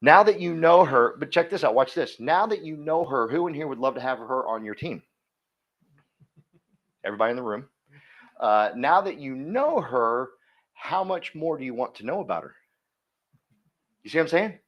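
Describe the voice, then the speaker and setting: A man leads a workshop in front of a live room, his pitch swinging up and down as he teaches. He is energetic and folksy, constantly quizzing the crowd and drawing answers out of it. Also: moderate pace, long pauses, lo-fi sound. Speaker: workshop coach. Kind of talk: interactive seminar teaching.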